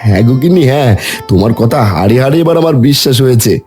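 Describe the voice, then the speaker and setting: Quick-talking kind dad from a cartoon, a man doing him, gentle. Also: medium pitch, animated, faint music behind, lightly acted. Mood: amazed. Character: kind village father, poor hotel man. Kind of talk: Bengali cartoon dubbing.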